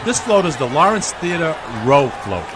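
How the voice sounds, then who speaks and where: A man's voice: fairly high pitch, very animated, normal speed, a crowd in the background. A man co-hosting a comic radio parade broadcast, announcing floats and chatting with his fellow commentators. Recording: clearly noisy outdoor broadcast.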